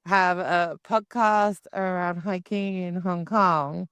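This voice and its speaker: Smooth low-voiced woman podcast host. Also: fairly even intonation, takes it slowly, a bit bright, no echo. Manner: chatty, talks through ideas aloud. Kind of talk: casual interview chat.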